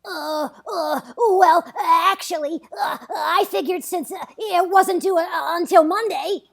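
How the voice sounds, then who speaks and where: A woman's voice: very high-pitched, takes it slowly, creaky, heavily acted. A woman doing a comedic impression of a nervous, stammering, nerdy cartoon boy at a table read. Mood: nervous, whiny. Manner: stammering, hesitant. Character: whiny timid cartoon schoolboy, stammering nervous nerd.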